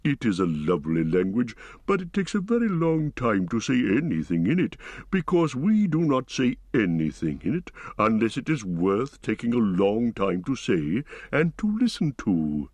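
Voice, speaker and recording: A man voicing an ancient, slow and thoughtful tree-shepherd in an audiobook, musing and humming as he speaks. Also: mid-range voice, huge pitch swings, darkish tone, breathy edge, no echo. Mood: unhurried. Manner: slow, deliberate, musing with hums. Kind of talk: audiobook character reading.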